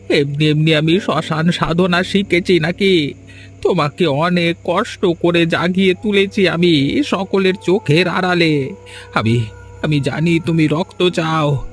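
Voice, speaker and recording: Grieving fisherman for cartoon melodrama, high-pitched, unhurried, devoted and pleading, over music. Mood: devoted resolve. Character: lonely, obsessed fisherman grieving his dead wife. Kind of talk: Bengali cartoon melodrama acting.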